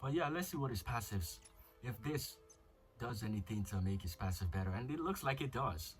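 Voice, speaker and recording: A man's voice: mid-range voice, animated, moderate pace, faint music behind. A young man with a flu giving casual, crude commentary over a mobile game. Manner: chatty, crude jokes, thinking aloud. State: slightly sick with flu.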